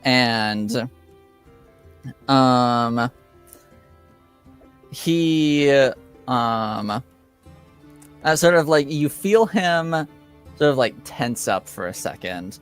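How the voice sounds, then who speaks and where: A man acting as game master, narrating and explaining rules of a tabletop game in a halting way. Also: medium pitch, animated, a bit bright, smooth, faint music behind. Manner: halting, hesitant delivery.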